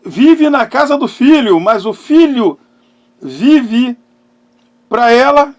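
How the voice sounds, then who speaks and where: A middle-aged man preaching and giving personal testimony as a pastor, emphatic, with dramatic pauses and swings between loud and hushed phrases. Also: very high-pitched, very animated, dull audio. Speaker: pastor and therapist hosting a live talk.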